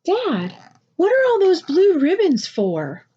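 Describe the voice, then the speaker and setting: Woman voicing an earnest, higher-pitched young boy, muffled sound. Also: wildly swooping pitch, takes it slowly, dark tone, full character voice. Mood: questioning, frustrated. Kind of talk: picture book read aloud.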